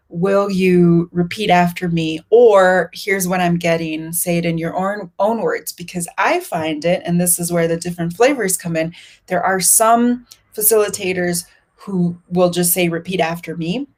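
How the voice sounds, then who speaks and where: Soft low-voiced woman with Spanish roots. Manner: thoughtful, searching phrasing with restarts. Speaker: facilitator. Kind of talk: reflective conversation. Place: Mexico.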